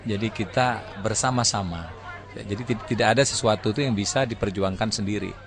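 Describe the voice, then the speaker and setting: A man's voice: on the low side, fairly quick, a crowd in the background. A man speaking as a parliamentarian, explaining things methodically, step by step. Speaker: member of parliament. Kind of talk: explanatory talk.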